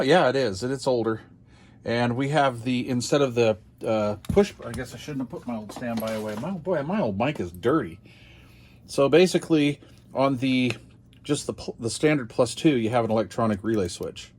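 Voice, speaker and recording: A man's voice: medium pitch, a little mellow. An older American man chatting casually as he hosts a hobby unboxing video, rambling along in a folksy, self-deprecating way.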